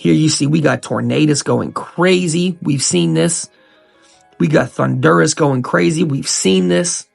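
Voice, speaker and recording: A young man with a gravelly, fry-heavy voice sits a little high in pitch as he hosts his channel. He has a cold and sounds stuffy, and he talks with excited, repetitive energy. Faint music runs under him. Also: measured pace. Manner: enthusiastic, repeats hype words. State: sick, coughing and sniffling. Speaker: YouTube host. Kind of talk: hyped casual commentary.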